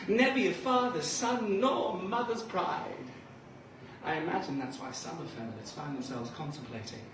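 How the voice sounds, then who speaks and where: A man from Liverpool, a drag performance poet, bantering camply with a live crowd and reciting his poem. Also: on the high side. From Liverpool, England. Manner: camp, flamboyant, chatty with the crowd. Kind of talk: live stage poetry with banter. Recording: echoey room from far off, noisy.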